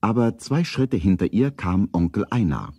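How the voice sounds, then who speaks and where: A man acting as the calm narrator of a children's radio play, telling the story in a measured, unhurried way. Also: lowish voice, husky. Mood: calm, narrating.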